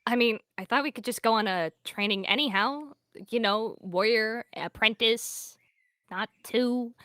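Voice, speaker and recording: Lady doing a hesitant young apprentice cat, bright edge to the voice, dry mic. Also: on the high side, measured pace, no room sound, voice pushed up. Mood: awkward.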